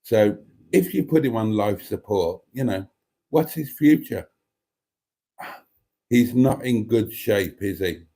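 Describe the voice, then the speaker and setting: A man hosting a casual live chat and reading out viewer comments, with a wry, deadpan delivery. He is snotty and has a cough. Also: lowish voice, takes it slowly, pauses often, slightly rough. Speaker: livestream host reading chat.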